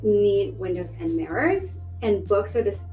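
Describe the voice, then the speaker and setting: A woman speaks earnestly and emphatically on a book panel. Her voice is mid-pitched. Faint music plays behind her. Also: lively intonation, a little slow, dark tone, poor audio. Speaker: publishing staff panelist. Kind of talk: panel discussion.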